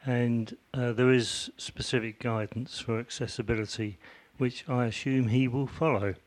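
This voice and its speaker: A man's voice: medium pitch, fairly even intonation, moderate pace. A man speaking up from the audience at a community meeting, hesitant with many fillers. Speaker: audience member, volunteer who built the website. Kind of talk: meeting discussion.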